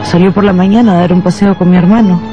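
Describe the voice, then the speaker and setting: An actress playing a guarded, anxious wife in a radio drama, hesitant and strained, in slightly dull audio. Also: female voice, lowish voice, fairly even intonation, fast, close to an everyday voice. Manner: hesitant, repeating words under strain. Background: faint music.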